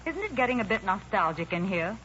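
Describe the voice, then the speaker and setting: An icy, haughty woman in an old radio play. She is formal and cutting, with a bright, animated delivery on a dull, hissy recording. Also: medium pitch, a little fast. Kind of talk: 1940s radio acting.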